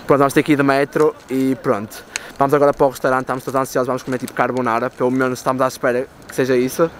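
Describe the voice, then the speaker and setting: Fast-talking Portuguese guy vlogging in city streets. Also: mid-range voice, flat delivery. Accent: European Portuguese. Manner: chatty, rambling, uses many fillers. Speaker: travel vlogger. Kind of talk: casual travel vlog. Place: outdoors in city streets and inside a stone cathedral and a big store. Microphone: handheld camera at arm's length. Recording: city street noise behind.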